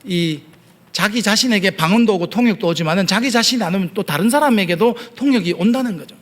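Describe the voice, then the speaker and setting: A male pastor with a Gyeongsang accent and a fairly high voice delivers a sermon in a church. He talks earnestly and patiently, often stopping on hesitation sounds as he explains a point. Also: quick pace. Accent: Gyeongsang Korean. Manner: earnest preaching with frequent hesitation fillers. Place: church sanctuary.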